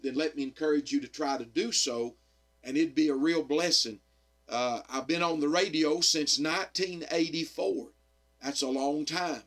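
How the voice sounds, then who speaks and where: A middle-aged man, slightly hoarse, preaching earnestly on radio with frequent amens and pauses. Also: on the high side, fairly bright tone. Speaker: radio evangelist and pastor. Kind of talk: radio sermon.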